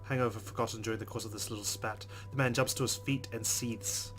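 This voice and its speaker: A man's voice: medium pitch, steady tone, fast, over loud music. A man playing a visual novel, reading its narration and adding wry, amused asides. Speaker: let's play gamer. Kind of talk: reading game text and reacting.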